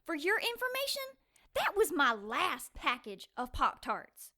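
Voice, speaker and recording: An actress playing an excitable, sassy young woman in an audio drama, sarcastic and quick to take offense. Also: high-pitched, exaggerated voice. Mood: indignant. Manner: sarcastic, feisty, fast-reacting.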